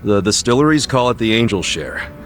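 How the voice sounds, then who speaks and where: An animated, easygoing street-smart guy. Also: medium pitch, fairly quick, slight background noise. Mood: animated, casual. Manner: slangy, informal, animated. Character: easygoing, brash, optimistic street-smart man, a loyal buddy. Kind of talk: video game English dub dialogue.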